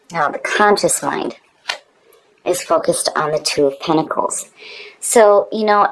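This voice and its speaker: Low-voiced woman giving a casual tarot reading. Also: rough recording. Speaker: tarot reader.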